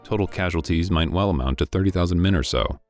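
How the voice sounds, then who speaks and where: A man reading a classic novel aloud in scripted narration, with a measured, dry delivery. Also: sits low, darkish tone, faint music behind. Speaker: audiobook reader.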